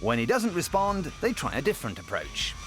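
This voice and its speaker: A man's voice: medium pitch, wildly swooping pitch, fairly quick. A man narrating police footage in a dry, mocking, tongue-in-cheek scripted voice-over. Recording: voice-over over music.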